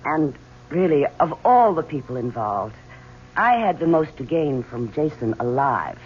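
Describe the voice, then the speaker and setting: A woman's voice: deep voice, sing-song, unhurried, darkish tone. An actress playing the cool, sardonic mistress of a murdered rich man, answering with evasive ease. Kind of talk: 1970s radio drama acting. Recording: dull old radio recording with faint hiss.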